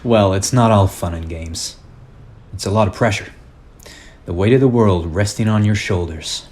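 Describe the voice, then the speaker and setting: A man's voice: fairly low pitch, normal speed, darkish tone, lightly acted. A man playing an earnest, humble, plain-spoken superhero in a radio play. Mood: reflective. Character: earnest, folksy male superhero, part shark, humble and noble. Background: music.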